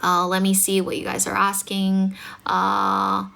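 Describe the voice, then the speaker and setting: A woman's voice: medium pitch, monotone, unhurried, vocal fry, bright, forceful tone. Chatty young woman tutor, North American accent. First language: Chinese. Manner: chatty, encouraging, filler-heavy. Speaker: English speaking tutor. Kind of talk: casual livestream Q&A.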